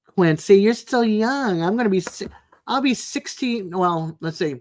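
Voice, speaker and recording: A woman in her sixties chatting casually as a live stream host, in a rambling, chatty way with frequent pauses. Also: mid-range voice, expressive, darkish tone. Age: 60s. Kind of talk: casual chat with live viewers.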